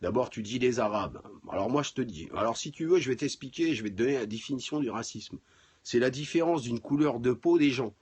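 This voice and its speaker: A middle-aged man with a husky voice, chatting casually and bluntly on a livestream, with crude swearing. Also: medium pitch, lively intonation, fairly quick, darkish tone. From France. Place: home garage.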